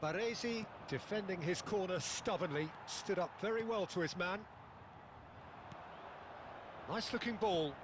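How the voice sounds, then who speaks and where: A man giving measured play-by-play football commentary that lifts sharply on chances. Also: high voice. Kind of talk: scripted match commentary.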